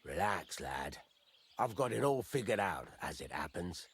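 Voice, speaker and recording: An actor playing a gruff, fatherly middle-aged master blacksmith in a medieval video game, blunt and folksy, teasing as he talks. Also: deep voice, very animated, a little slow, slight background noise, exaggerated voice. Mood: confiding. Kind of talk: video game dialogue acting.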